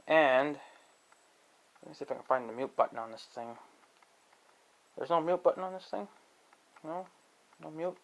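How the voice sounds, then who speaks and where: A man giving a casual, rambling demonstration to viewers, halting and thinking aloud as he talks. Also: on the high side, expressive, creaky. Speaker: amateur video creator demonstrating an app. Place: indoors in own room at home.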